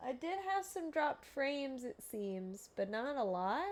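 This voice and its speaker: A woman's voice: high voice, sing-song, takes it slowly. A woman live-streaming a game, reacting aloud with nervous laughter and dramatic dread. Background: game music.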